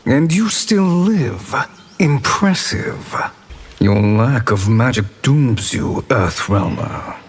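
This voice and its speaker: Arrogant sorcerer villain, long reverb. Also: male voice, medium pitch, lively intonation, husky. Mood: smug menace. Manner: smug, formal, deliberate menace. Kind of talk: video game character dialogue. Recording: long reverb, ambient noise behind.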